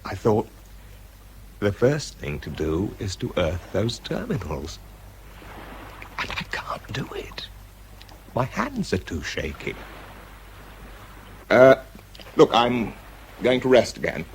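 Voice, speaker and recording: A man acting in a radio drama as an exhausted, shaky, methodical bomb disposal expert, speaking haltingly under strain. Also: lowish voice, sing-song, a little hiss or hum, exaggerated voice. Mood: tense, concentrating. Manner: halting, hesitant, muttering as he works. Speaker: actor and narrator in a radio drama. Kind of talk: radio drama acting, first-person monologue.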